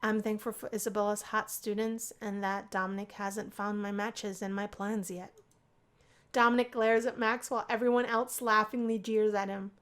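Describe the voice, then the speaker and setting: A woman narrating a visual novel let's play, reading the story aloud in an expressive storybook way. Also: mid-range voice, normal speed, clean tone. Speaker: gaming video creator.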